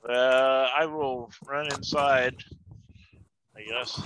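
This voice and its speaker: A man's voice: mid-range voice, steady tone, extremely slow, a bit bright, slight background noise. A man chatting casually as a player in a tabletop role-playing game.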